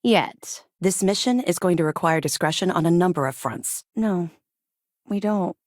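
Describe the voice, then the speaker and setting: A woman playing a disciplined, no-nonsense military officer in a sci-fi video game, speaking in a crisp, formal, businesslike way. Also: on the low side, expressive, moderate pace, darkish tone, dry recording, studio quality, natural voice. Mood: businesslike, firm. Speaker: military officer briefing the player. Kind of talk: scripted video game dialogue.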